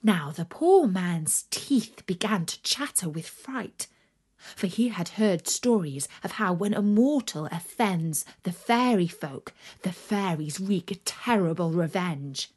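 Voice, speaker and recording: A woman narrating a children's story from a script, expressive and dramatic, dropping into breathy emphasis on some words. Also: on the low side, slow.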